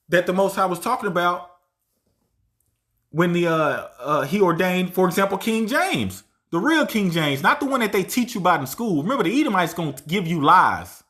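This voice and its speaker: A man with a notably high voice delivers a loose, conversational Bible lesson. He drives his points home emphatically and keeps asking "right?" as he goes. When he reads scripture, he slows right down. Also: fairly bright tone. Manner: emphatic, tags lines with "right?"; reads verses aloud slowly. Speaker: Bible teacher. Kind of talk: informal scripture teaching.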